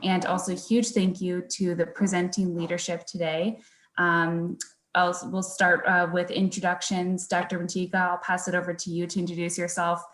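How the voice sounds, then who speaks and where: Brief: woman volunteer moderator, slightly low voice, friendly, echoey room on a call. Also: level tone, moderate pace, fairly bright tone. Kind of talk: welcoming introduction. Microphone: video call. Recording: roomy echo.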